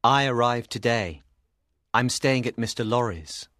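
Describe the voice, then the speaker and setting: An actor playing a cool young lawyer with quiet, smooth menace in a dramatised audiobook. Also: male voice, mid-range voice, measured pace, dry recording, clean studio sound, close to an everyday voice. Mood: quiet, assured.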